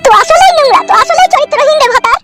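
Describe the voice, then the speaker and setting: A woman voicing a jealous, scolding village wife in a comedy cartoon, with a pitched-up voice. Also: lively intonation, very fast. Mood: furious, scolding. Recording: loud music behind the voice.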